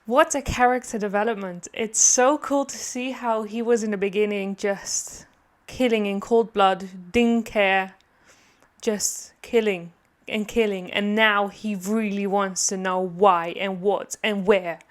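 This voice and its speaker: A woman's voice: mid-range voice, fairly even intonation, measured pace. A woman giving casual reaction commentary on a game, musing aloud hesitantly and often repeating herself. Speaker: gaming reaction video creator.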